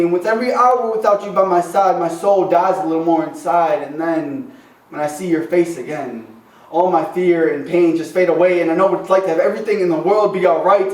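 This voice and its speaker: A man performing slam poetry at an open mic, impassioned and rhythmic, with dramatic pauses and rhymes. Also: high-pitched, a little mellow. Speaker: slam poet. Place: open mic venue with a small responsive audience. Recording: echoey live room, distant microphone.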